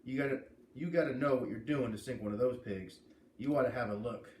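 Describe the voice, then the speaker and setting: A man's voice: medium pitch, fairly quick, a little mellow, close to an everyday voice. A man reading the part of a stubborn, good-humored jailed father who casually justifies himself in a storybook. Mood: defiant. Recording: echoey room with clear background noise.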